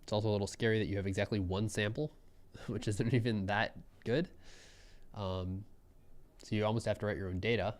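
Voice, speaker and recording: A young man giving relaxed, musing live commentary on a programming contest, often trailing off mid-thought. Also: on the low side, a little fast.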